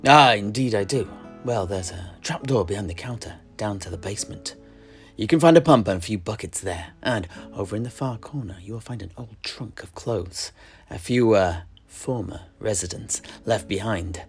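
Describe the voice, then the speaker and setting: A man's voice: fairly low pitch, normal speed, faint music behind, natural voice. Man acting a fussy, exasperated tavern keeper. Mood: resigned, helpful. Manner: flustered, wordy, mildly formal. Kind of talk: in-character audio drama dialogue.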